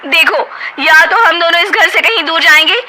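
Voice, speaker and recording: A woman voicing a scheming, bossy young sister-in-law who taunts and orders others about in a shrill voice. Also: animated, moderate pace, sharp tone, slight background noise, heavily acted. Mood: demanding.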